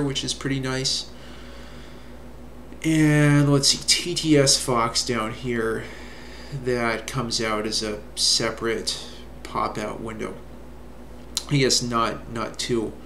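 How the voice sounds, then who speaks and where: A middle-aged man casually reviewing a browser add-on, with a relaxed manner and lots of fillers. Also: mid-range voice. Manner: relaxed, many fillers like "you know". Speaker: reviewer demonstrating software. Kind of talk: casual screen-recorded review. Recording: echoey room with faint noise.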